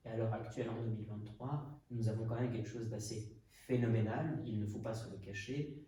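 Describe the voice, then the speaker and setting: A podcast host from Portugal with a very dark, low voice speaks hesitantly, pausing on fillers as he thinks aloud. He sounds distant from the mic in a very echoey room with faint background noise. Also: steady tone. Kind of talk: reflective podcast conversation.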